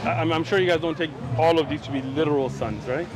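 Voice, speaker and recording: A man's voice: fairly high pitch, fast talker, noisy background. A young man from Pakistan, raised in San Diego, chatting persuasively about faith with strangers on a city street and often checking agreement with "right?". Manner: friendly, persuasive, frequent "right?" tags. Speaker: street preacher.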